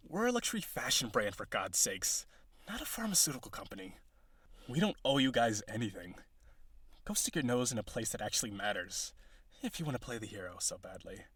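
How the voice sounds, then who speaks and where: A man's voice: medium pitch, a bit bright, husky, dry recording. A man playing a cocky, flirtatious businessman villain on a date in an audio roleplay, with a sardonic, teasing, conversational delivery. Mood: exasperated, smug.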